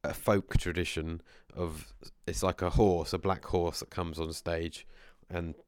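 Male vlogger, low voice, dry close sound, slightly husky from a cold, rambling casual chat. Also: little pitch movement, unhurried, a little mellow, dry recording. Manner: meandering, with many restarts and asides. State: has a cold, losing his voice.

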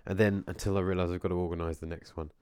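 Male vlogger, low voice, dry close sound, slightly husky from a cold, rambling casual chat. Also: little pitch movement, rapid-fire, a little mellow, no echo. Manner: meandering, with many restarts and asides. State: has a cold, losing his voice.

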